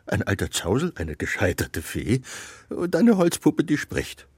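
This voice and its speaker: A gentle old codger of a father in a children's radio play. His voice is very husky and breathy, slow and halting, and it swings widely in pitch, close and dry. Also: huge pitch swings, no echo, heavily acted. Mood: wry, amused. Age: older. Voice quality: husky, often dropping to a whisper.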